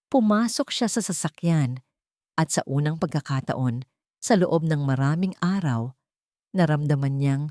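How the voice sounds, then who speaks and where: A woman with a Filipino accent narrating a scripted dramatic story in measured, dramatic phrases. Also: sits low, dark tone, no echo, clean studio sound.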